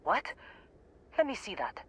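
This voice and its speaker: An actress playing a gruff, dependable female outpost supervisor in a video game, speaking in a dry, blunt, no-nonsense way. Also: mid-range voice, sing-song, measured pace, muffled recording, exaggerated voice. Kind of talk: naturalistic video game voice acting.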